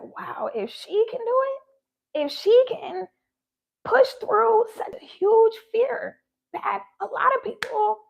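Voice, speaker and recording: A woman's voice: very high voice, vocal fry, poor audio. A woman giving a heartfelt spiritual talk, her voice shaky and sounding close to tears. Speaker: spiritual vlogger.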